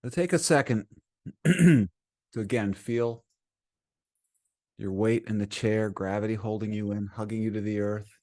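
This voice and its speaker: Male meditation guide: lower-pitched voice, soothing and gentle delivery, unhurried phrasing with plenty of pauses. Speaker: meditation teacher.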